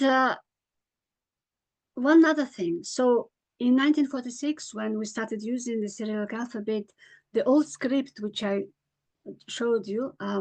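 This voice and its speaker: A middle-aged woman from Mongolia, giving a hesitant conference talk over a video call, with many pauses and fillers. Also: on the high side, gravelly, darkish tone, rough recording. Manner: hesitant, many ums and uhs, self-correcting. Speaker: conference speaker. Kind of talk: online conference talk with slides.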